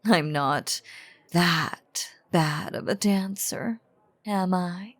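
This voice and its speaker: An elegant, ancient vampire lady, played by a woman, speaks low and slowly, every word cleanly shaded with a husky edge. She is teasing and coaxing, with theatrical, courtly phrasing. It is a roleplay audio drama with soft music underneath. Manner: theatrical, archaic, velvety formal phrasing.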